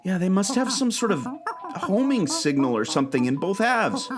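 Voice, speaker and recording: A man's voice: high voice, very animated, moderate pace, music in the background. A man acting a plucky, confident and eager explorer in an audio adventure play. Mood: urgent.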